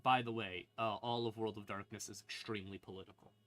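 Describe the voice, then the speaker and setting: A man's voice: lowish voice, steady tone, fast, a bit bright. A man streamer explaining game lore in a casual, rambling, wry and emphatic way, with some swearing. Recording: music playing behind the voice. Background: creepy ambient music.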